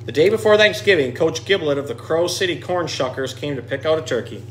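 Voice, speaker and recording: A man reads a children's storybook aloud with expressive, dramatic delivery. His voice sits a little higher than most men's. Some noise is audible behind him. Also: brisk. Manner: expressive, dramatic dialogue readings. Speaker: storyteller reading a picture book aloud. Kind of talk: animated children's storybook reading.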